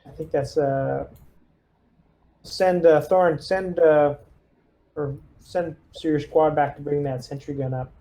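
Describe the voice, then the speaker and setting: A man playing a tabletop roleplaying game, casually directing his squad and thinking aloud in a deliberate way. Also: fairly high pitch, fairly even intonation, warm tone. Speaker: player leading the squad.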